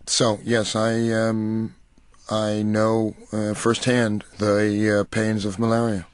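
A middle-aged American man from New York City, giving thoughtful, deliberate interview answers with pauses mid-phrase. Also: on the low side, monotone, clean tone, no echo. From New York City, United States. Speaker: interview guest, coffee cooperative marketing director. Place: radio studio.

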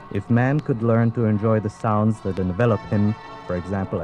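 Low-voiced male narrator over music. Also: muffled recording. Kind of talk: scripted narration. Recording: music behind the voice.

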